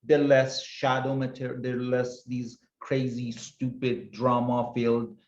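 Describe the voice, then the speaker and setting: A man in casual group chat on a video call, talking slowly and haltingly in a reflective way. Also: mid-range voice, flat delivery, darkish tone. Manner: slow, halting, reflective, trailing off. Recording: echoey, muffled video call audio.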